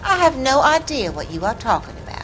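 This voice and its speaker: A woman's voice: high voice, very animated, a little fast, music in the background, poor audio. An actress playing a defensive landlady who answers a detective evasively and indignantly. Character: defensive landlady protecting her reputation.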